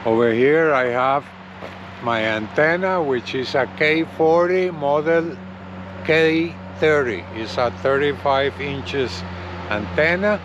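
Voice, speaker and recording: A man giving a casual hands-on product demonstration as a reviewer, halting and often checking in with the viewer. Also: on the high side, dull audio, background noise. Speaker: gadget reviewer presenting a CB radio.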